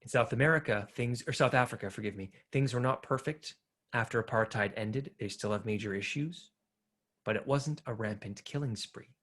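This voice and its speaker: A man's voice: mid-range voice, moderate pace, fairly bright tone. Earnest man arguing policy points. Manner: earnest, argumentative, frequent filler "uh". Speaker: panel speaker. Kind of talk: panel discussion answering questions.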